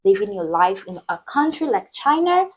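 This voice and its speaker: Woman vlogger, host, husky voice, upbeat and chatty. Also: mid-range voice, very animated, normal speed. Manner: chatty, upbeat, addresses viewers directly. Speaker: vlog host. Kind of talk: casual interview chat. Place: indoors in China.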